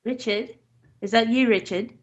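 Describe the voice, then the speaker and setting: A woman's voice: on the high side, fairly even intonation, moderate pace, natural voice. Woman playing imperious middle-aged wife, muffled recording. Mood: demanding. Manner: imperious, scolding. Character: demanding, jealous, ailing middle-aged wife. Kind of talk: old-time radio drama acting.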